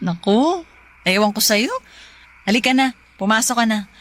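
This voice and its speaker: Sharp-tongued young girlfriend. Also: a little high, expressive, takes it slowly, exaggerated voice. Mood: amused, teasing. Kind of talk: Tagalog radio drama acting.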